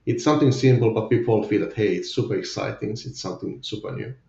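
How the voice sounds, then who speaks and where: A man's voice: lowish voice, fast, creaky, mellow, roomy sound, dull audio. A man with a Finnish accent, a guest in a candid podcast interview, speaking hesitantly with many fillers. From Finland. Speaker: guest, company CFO.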